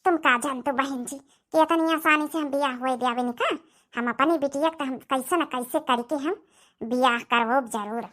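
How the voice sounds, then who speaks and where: Woman acting high, bright-voiced scheming Awadhi village mother. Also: normal speed. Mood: resentful, scheming. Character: scheming village mother pushing her favoured daughter. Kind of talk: rustic cartoon comedy acting.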